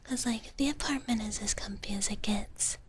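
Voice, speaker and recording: A woman whispering softly and breathily in a cutesy ASMR roleplay as a cozy dragon big sister, chatting with viewers close to the mic. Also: mid-range voice, moderate pace. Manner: cutesy, speaks of herself in the third person. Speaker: ASMR livestream host.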